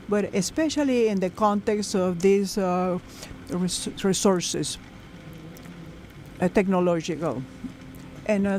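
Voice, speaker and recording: A man interpreting a conference panel into English live, halting, with many fillers and self-corrections. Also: high-pitched, darkish tone, a little hiss or hum. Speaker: simultaneous interpreter.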